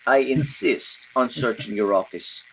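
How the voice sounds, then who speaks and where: An actor playing a fussy, polite, furtive Greek crook with formal, hesitant phrasing, in old-time radio style. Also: male voice, medium pitch, unhurried, a bit bright, a little hiss or hum, lightly acted, poor audio. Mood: nervous, insistent. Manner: formal, hesitant, over-precise.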